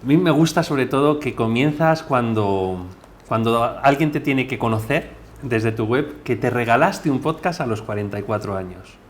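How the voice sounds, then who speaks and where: A man works as a podcast interviewer, speaking conversationally at an ordinary male pitch. There is faint background noise on the recording. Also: moderate pace.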